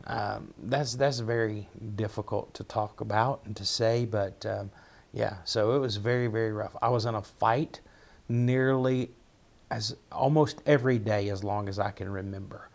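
Gravelly low-voiced Appalachian man. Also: steady tone, normal speed, darkish tone. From southwest Virginia, United States. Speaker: pastor, podcast guest. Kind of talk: personal storytelling in an interview.

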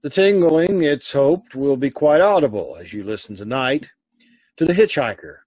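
A man hosting with a scripted old-time radio introduction, measured, theatrical and wry. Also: mid-range voice, animated, a little mellow, slightly rough, no room sound, poor audio. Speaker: radio show host.

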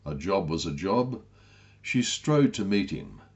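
A man's voice: low voice, sing-song, measured pace, vocal fry, dull audio. A man reading a comic story as a scripted narration, in a wry, literary manner. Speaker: author reading own story.

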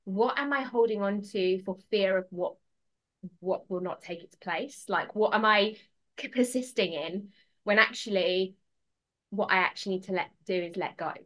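A woman's voice: mid-range voice, normal speed, fairly bright tone. A woman speaking as a podcast guest in a casual, conversational way, earnest and emphatic, repeating phrases for stress. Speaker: podcast guest, personal transformation mentor.

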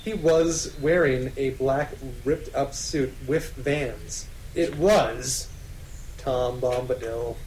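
A man's voice: mid-range voice, slow, noisy background. A man co-hosting a podcast, reading fan fiction dramatically and bantering casually, often amused as he goes. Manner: often breaking into laughter while reading. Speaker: podcast co-host.

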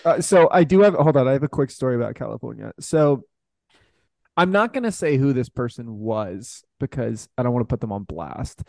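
A man's voice: mid-range voice, lively intonation, a little fast, creaky, a little mellow, no room sound. A man co-hosting a podcast, chatting casually and jokingly. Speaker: podcast host.

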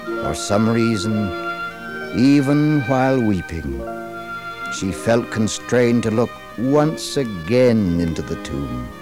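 Male American narrator, lower register, slow theatrical solemnity, vintage religious radio drama over music. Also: very animated.

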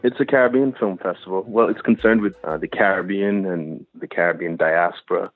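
A man from Barbados, a filmmaker, giving thoughtful, measured interview answers over a phone line. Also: low voice, soft background music. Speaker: filmmaker guest.

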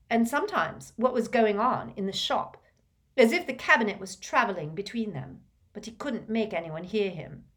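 A woman voicing a tense, defiant teenage boy wizard who speaks forcefully and vehemently. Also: fairly high pitch, pitched above the performer's own voice, full character voice.

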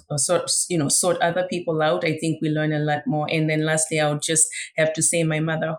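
A woman guest on a podcast, answering thoughtfully with many fillers. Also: low-pitched. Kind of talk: casual interview chat.

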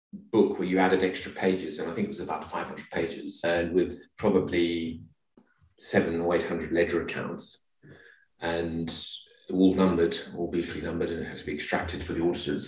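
A middle-aged man from Zimbabwe giving measured, slightly hesitant explanations as a guest in a conversational interview. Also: sits low. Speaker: podcast guest. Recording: roomy, distant microphone.

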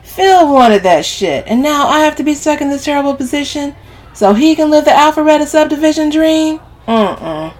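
A brash, sassy woman from an audiobook novel, acted by a woman narrator, pitched high and swooping. She is scornful and dramatic, with a sharp tongue and salty, exclamatory delivery. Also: lively intonation, moderate pace, soft background music, lightly acted, rough recording. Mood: indignant.

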